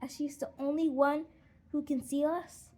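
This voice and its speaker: A woman's voice: high voice, steady tone, moderate pace, mellow, voice pushed up, close to an everyday voice. A woman voicing a friendly, eager young girl adventurer in a children's storybook. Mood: curious.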